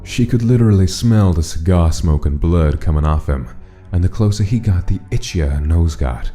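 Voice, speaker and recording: A man's voice: low voice, animated, normal speed, warm tone. A man narrating a dramatic story from a script, as the narrator of a comic dub. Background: music.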